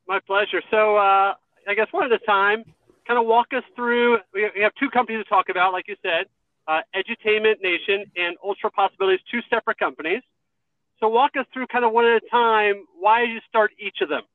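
A man's voice: high voice, fairly even intonation, a little fast, dry recording, narrow, tinny audio. A man hosting a radio interview show, friendly and self-deprecating with his guests. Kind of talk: interview host.

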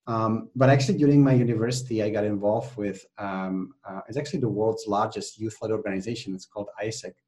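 A man's voice: lowish voice, mellow, poor audio. A man from the Czech Republic with a Czech accent, a podcast guest chatting animatedly with many fillers and restarts. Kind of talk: conversational interview.

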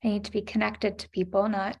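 A woman's voice: medium pitch, flat delivery, a little fast, vocal fry, darkish tone, slightly rough. A woman in her thirties, a podcast guest, sharing a personal story in an earnest, reflective way, with many "you know" and "like" fillers.

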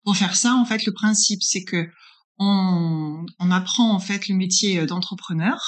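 A woman's voice: medium pitch, measured pace. A woman in her forties from northern France, answering interview questions as a consultant, explaining conversationally and checking in as she goes. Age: 40s. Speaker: interviewed guest, business incubator consultant.

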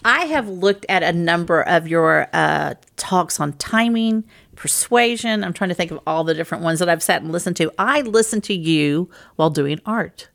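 Gravelly-voiced woman hosting an interview. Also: fairly low pitch, animated, moderate pace, a bit bright. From Louisiana and Texas, US. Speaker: show host. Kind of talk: interview over video call.

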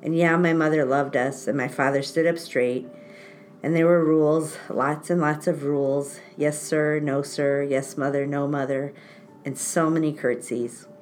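A woman's voice: sits low, steady tone, a little slow, a little mellow, slight background noise. A woman podcast host, born in Italy and raised in France, telling personal stories in a wry, self-mocking way and laughing often. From born in Italy, grew up in France. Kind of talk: casual storytelling chat.

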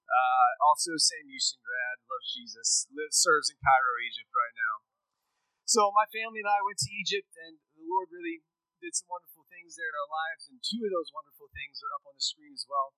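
A man's voice: on the high side, lively intonation, fairly quick, pushed and bright, no room sound, low-quality recording. A man, a missionary speaking in a casual, conversational sermon and asking his audience questions. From rural Texas.

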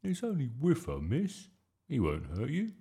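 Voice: mid-range voice, wildly swooping pitch, unhurried, warm tone, pitched above the performer's own voice. A performer voicing an eager, cheeky little schoolboy in a storybook, earnest and pleading. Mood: protesting.

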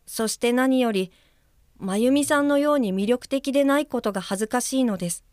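An actress playing a polite, conscientious young female guide-helper in a radio drama, reading a letter aloud in a soft, measured voice. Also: fairly high pitch, no echo, studio quality, natural voice. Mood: earnest. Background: music under the voice.

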